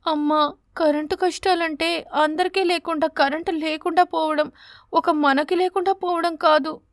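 Casting: fed-up schoolgirl sparrow for an animated tale, very high, sharp-tongued , played by a woman. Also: normal speed, no echo, studio quality. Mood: complaining. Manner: loud, complaining, sharp-tongued. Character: young schoolgirl sparrow, fed up and outspoken daughter. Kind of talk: animated bedtime story character.

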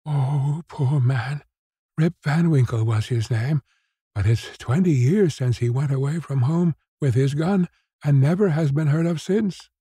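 A man's voice: on the high side, measured pace, warm tone, slightly rough, no room sound, studio quality, pitched above the performer's own voice. A man voicing a plain-spoken young village mother in a storybook reading, matter-of-fact with light exclamations. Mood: matter-of-fact, sad.

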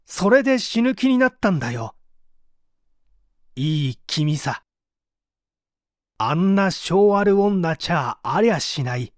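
Man acting as spiteful jealous woman, mocking, rough slangy tongue, dry recording, mystery radio drama. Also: high-pitched, very animated, takes it slowly, long pauses, dry recording, full character voice. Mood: jeering, spiteful. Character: spiteful, jealous, coarse-tongued woman taunting her lover.

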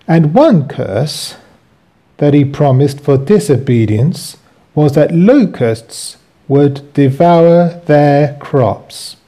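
A man hosting and reading from his own book with commentary, in deliberate, halting phrases. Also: on the high side, animated, warm tone.

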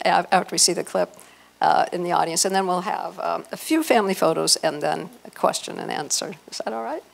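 Middle-aged woman, American accent, gravelly lowish voice, informal presenter, handheld mic. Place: hotel conference room before a seated audience. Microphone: handheld microphone.

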